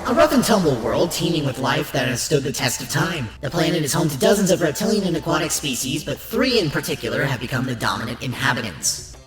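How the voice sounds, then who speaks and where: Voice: medium pitch, lively intonation, quick pace. A narrator presenting a scripted documentary-style piece in an emphatic presenter's delivery. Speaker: narrator and show host. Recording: roomy echo under loud music. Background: loud background music.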